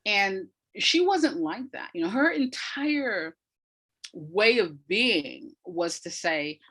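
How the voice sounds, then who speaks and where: Woman, interview guest, mid-range pitch, emphatic explainer who repeats phrases to drive a point home. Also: lively intonation, takes it slowly, a bit bright, breathy edge. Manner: emphatic, repeats phrases for effect, laughs often. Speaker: interview guest, audio drama maker. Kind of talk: animated explanatory interview talk.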